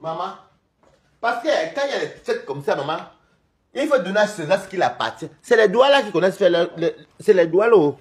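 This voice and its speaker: Animated Cameroonian man, high lively voice. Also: a little fast. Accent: Cameroonian French. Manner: rambling, animated, gossipy, laughs often. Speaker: livestream host. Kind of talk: live-stream chat with viewers.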